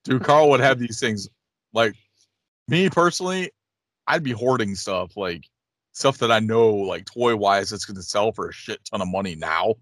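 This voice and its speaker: A man in his late thirties with a low voice, recorded dry and close. He talks casually as a podcast co-host, rambling a little and doubling back on his phrases. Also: expressive, normal speed, fairly bright tone, no echo. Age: late 30s. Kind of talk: casual chat, reading listener questions.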